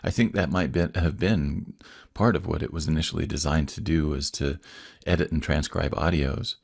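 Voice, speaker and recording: A man hosts a podcast interview and asks his guest questions in a friendly, eager way. His voice is very deep, gravelly and husky. The recording sounds dry and close. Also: moderate pace, no room sound. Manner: friendly, enthusiastic, laughs often. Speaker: podcast host. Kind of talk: casual interview.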